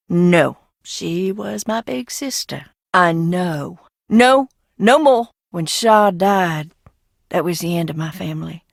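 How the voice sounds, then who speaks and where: An actress playing an eccentric, mischievous and bitter heiress in a video game, theatrical and sardonic, swinging between singsong teasing and hushed menace. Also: female voice, medium pitch, no echo, studio quality. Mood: wary warning, then agitated, resentful, then wounded, bitter. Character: eccentric, bitter, mischievous Southern heiress, secretive asylum graduate. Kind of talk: video game character acting.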